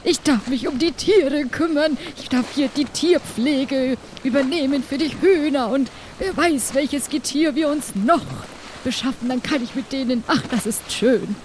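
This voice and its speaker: A woman voicing an excited, animal-loving pirate crew member in an improvised audio play. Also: high-pitched, measured pace, voice pushed up. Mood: excited delight. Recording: faint background noise.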